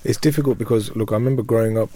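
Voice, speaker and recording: Low, mellow-voiced man recalling childhood. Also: fairly even intonation, rapid-fire. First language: Urdu. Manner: reflective, candid storytelling. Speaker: radio show co-host. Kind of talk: casual panel discussion.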